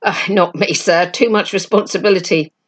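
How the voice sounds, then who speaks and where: A calm, dutiful storybook soldier, played by a woman, speaks modestly and respectfully. The delivery is quiet and clipped, deferring to a superior. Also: fairly low pitch, moderate pace, natural voice.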